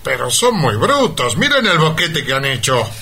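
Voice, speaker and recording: A man's voice: mid-range voice, very animated, moderate pace, vocal fry, bright, forceful tone, a little hiss or hum, full character voice. A man playing a bossy, ruthless crook in a comic radio play, with commanding, mock-menacing, theatrical delivery. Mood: curious. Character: bossy, ruthless crook leading greedy gold miners. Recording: echoey, distant studio sound.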